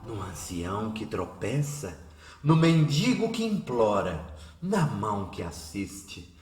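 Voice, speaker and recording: A man's voice: medium pitch, fairly bright tone. An actor playing an impassioned, devout man praying aloud to God in a radio drama, solemn, declamatory and poetic. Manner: solemn, declamatory, poetic, with repeated rising invocations. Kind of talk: radio drama prayer oration. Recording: roomy echo with music underneath.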